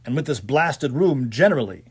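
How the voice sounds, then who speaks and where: A man voicing a nervous character lost in the dark for a story, muttering hesitantly with uneasy bravado. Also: medium pitch, big pitch swings, moderate pace, husky. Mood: forced calm. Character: nervous man lost in darkness, forcing himself calm.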